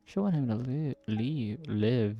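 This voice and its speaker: A man's voice: medium pitch, a little slow, lo-fi sound, soft background music. A man reacting casually to a game as a video creator, gushing in short, murmured comments.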